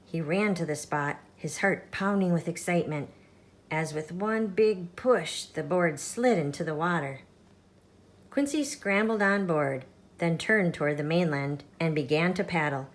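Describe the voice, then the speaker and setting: A woman reading a children's picture book aloud as a storytime host, in an expressive, measured storytelling manner. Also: fairly low pitch. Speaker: storytime channel reader.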